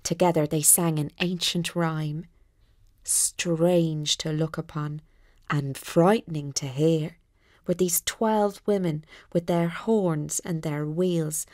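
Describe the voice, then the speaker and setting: Slow, low-voiced woman storyteller. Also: a little mellow, no echo, studio quality. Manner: measured, deliberate, dramatic phrasing. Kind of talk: scripted bedtime story narration.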